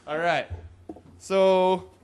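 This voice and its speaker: A man's voice: high voice, fairly even intonation, very slow, noisy background. A young man giving a loose, joking talk in a conference hall, with whoops and laughs, into a podium microphone. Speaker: conference presenter. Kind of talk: casual live tech talk.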